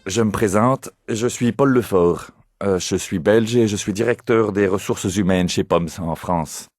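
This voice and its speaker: A man acting in scripted language-course dialogue as a polite, measured company director. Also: fairly low pitch, a little mellow, dry recording, close to an everyday voice. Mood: introducing himself. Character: polite human resources director introducing himself.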